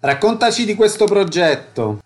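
Higher-pitched male radio host. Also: sing-song, moderate pace. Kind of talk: radio presenting, announcing events and interviewing.

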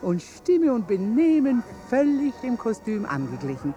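A woman's voice: fairly high pitch, very animated, a little slow, warm tone, highs cut off, faint music behind. An actress playing a snobbish, flirtatious society lady in a 1950s radio play, with an affected, effusive manner. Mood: gushing, haughty.

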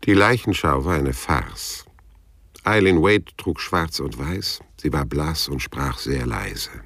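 An actor playing a cool, persistent private detective in a German radio crime drama, narrating and questioning in a dry, laconic, husky voice. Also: male voice, rumbling low, slow, a bit bright, full character voice. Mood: dry, wry narrating. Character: hard-boiled private detective narrating his own story, cool and persistent.

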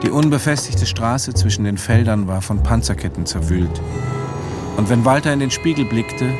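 An actor as the sober narrator of a literary radio drama, reading descriptive third-person prose. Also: male voice, mid-range voice, animated, normal speed, natural voice. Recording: loud music and car sounds underneath. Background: music and car engine.

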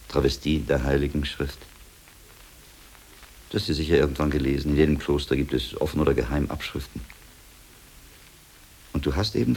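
Very deep, gravelly learned monk. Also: male voice, darkish tone, a little hiss or hum. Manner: deliberate, pondering, probing. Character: learned, shrewd older monk, sharp-minded master and investigator. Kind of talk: German radio drama dialogue.

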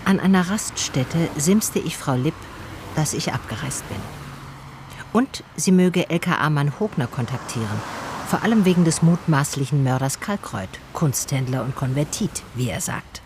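A woman's voice: sits low, animated, moderate pace, noisy background. An actress playing a detective who recounts her case in dry, understated first-person radio drama narration. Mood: dry, reflective. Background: music.

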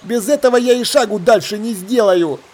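An honest, simple seaman from an adventure audiobook, played by a man. He speaks in a raised, high voice, anxious and insistent, pressing for answers. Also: normal speed, darkish tone. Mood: insistent, suspicious. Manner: insistent, questioning. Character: anxious, simple honest sailor demanding the truth. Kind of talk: acted audiobook dialogue.

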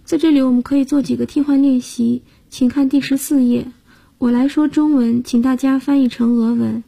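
A woman's voice: high voice, steady tone, normal speed, vocal fry, dark tone, husky, poor audio. A woman hosting a radio language lesson, patiently explaining grammar and guiding listeners step by step. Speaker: language teacher and host.

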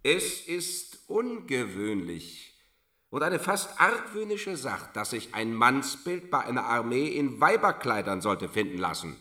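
A seventeenth-century army judge in a radio play, set a little back from the microphone. His delivery is piercing and very varied in pitch. He is formal, suspicious and threatening, working pedantically through his interrogation. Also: sing-song, measured pace, sharp tone, far from the mic. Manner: formal, pedantic, point-by-point questioning. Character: suspicious, threatening male regimental judge of the Thirty Years' War. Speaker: military magistrate interrogating a prisoner.

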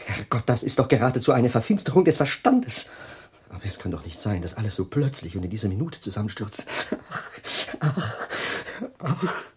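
A man's voice: mid-range voice, natural voice. A man acts an absent-minded, anguished scientist in a radio drama. His mid-range voice is hesitant and plaintive. Faint noise and clicks sit in the recording. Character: distracted, anguished male scientist. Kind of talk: German radio play acting.

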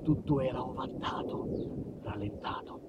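A man telling a comic stage monologue in an emphatic, dramatic manner, moving between hushed and loud delivery. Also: medium pitch, moderate pace, very noisy. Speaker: solo storyteller and actor. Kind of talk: theatrical monologue, comic storytelling.

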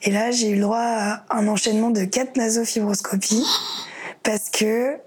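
A woman's voice: on the high side, fairly even intonation, normal speed, darkish tone. A young woman telling her story in an interview, hesitant and reflective with many fillers. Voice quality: very breathy, husky voice. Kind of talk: personal testimony interview.

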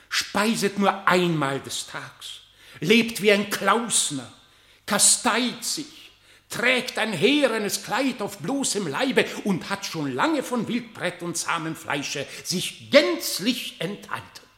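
Theatrical high-voiced animal advocate, echoing. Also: male voice, sing-song, pushed and bright, exaggerated voice. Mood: pious, measured. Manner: theatrical, measured, declamatory. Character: animal advocate slyly defending his uncle at court. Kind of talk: dramatic verse radio play speech. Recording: echoey room.

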